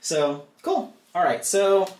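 A woman's voice: lowish voice, very animated, slow pace. A woman giving a casual, chatty unboxing commentary, thinking aloud as she goes at a craft table. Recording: roomy, echoey sound with background noise. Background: crinkling plastic bags, clicks.

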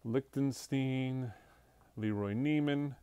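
A man strolls a crowded furniture showroom, filming on a phone and rattling off the pieces as he passes them. His voice is smooth and clear, with a mid-range pitch. The sound is dry and close. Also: takes it slowly, mellow, dry recording, dull audio. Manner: offhand, listing items as he walks. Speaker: auction house staff giving a preview walkthrough. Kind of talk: casual walkthrough narration. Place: large indoor auction showroom full of furniture. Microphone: handheld phone camera, speaker off screen.

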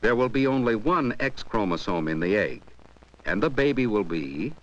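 A dull, muffled 1970s film soundtrack carries a low-voiced man. He narrates an educational film with lively rises and falls, explaining in measured, scripted phrases. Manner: measured, explanatory, poses questions to the viewer.

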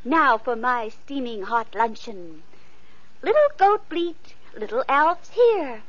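Sweet little girl, sing-song and soft, super high voice, old muffled radio sound. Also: expressive, slow pace, exaggerated voice. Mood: coaxing. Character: gentle, kind young girl Two Eyes. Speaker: radio actress. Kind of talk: 1940s radio acting.

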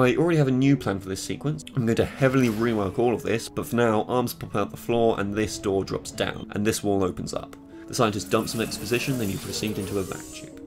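An eighteen-year-old man with a British accent, narrating his game-map devlog in a sarcastic, self-mocking way, filmed in a small bedroom with a desk mic. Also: on the low side. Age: late teens. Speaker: game mod creator. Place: small bedroom with purple light.

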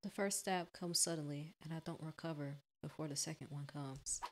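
A woman doing a let's play, reading a visual novel aloud and exclaiming expressive reactions. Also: low-pitched, normal speed, a little hiss or hum. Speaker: gaming streamer. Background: game music.

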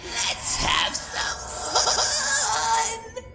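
A woman's voice: extremely high pitch, fairly even intonation, drawn-out words, vocal fry, full character voice. A woman voicing a manic, gleeful animatronic party entertainer from a horror game. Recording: echoey, loud music behind.

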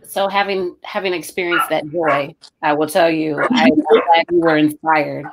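A woman's voice: low voice, steady tone, normal speed, slight background noise, low-quality recording. An American woman hosting an interview over a video call in a conversational way. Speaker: interview host. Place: indoor room, on a video call.

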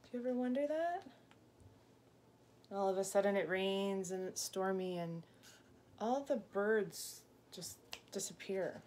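A woman in her thirties reads a picture book to young children at a slow, unhurried pace. She speaks at a medium pitch, stopping for simple explanations and gentle questions. It is a homemade recording in a small room, with noticeable background noise. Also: lively intonation. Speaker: teacher reading aloud to young children. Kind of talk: picture book read-aloud with asides to children.

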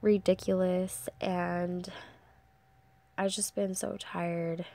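A woman's voice: lowish voice, level tone, extremely slow, creaky, dark tone, no room sound. A young woman with a congested, stuffy voice, chatting casually through a craft tutorial voice-over, rambling with lots of ums. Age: young adult. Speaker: crafting channel host.

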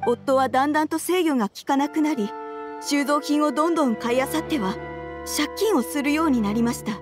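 A woman playing an earnest, troubled wife and mother in a video game, speaking politely and with measured care. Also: high voice, over music, lightly acted. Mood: troubled, recounting.

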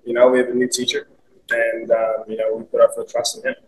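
A man's voice: medium pitch, monotone, a little fast, a little hiss or hum, rough recording. A young male soccer player answering press questions after a loss, upbeat and candid, with lots of "you know" fillers. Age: young adult. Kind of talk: post-match press conference answers. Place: team media room, indoors.

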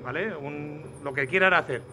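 A man with a southeastern Spanish accent from Alicante explains informally and haltingly, repeating words and adding tag questions, slightly muffled by a face mask. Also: a little high, sharp tone, slight background noise. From Agost, Alicante province, Spain. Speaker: artisan potter, interviewee. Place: indoors in old pottery workshop and brick kiln. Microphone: handheld reporter's microphone.

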